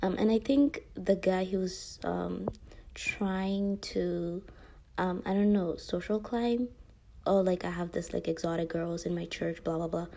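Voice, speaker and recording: Young woman vlogger, husky and gravelly, mellow tone, rambling talk with whispered asides. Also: on the low side, steady tone, a little slow. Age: young adult. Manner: rambling, frequent fillers, drops into whispers. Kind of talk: casual monologue to camera.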